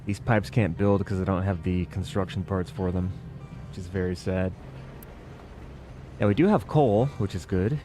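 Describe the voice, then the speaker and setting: A man with a low, mellow voice talks his way through a factory-building game, musing aloud as he works. His delivery is relaxed and unhurried, with soft game music underneath. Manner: relaxed, musing, self-doubting asides. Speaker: gaming video creator. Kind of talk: casual gameplay commentary.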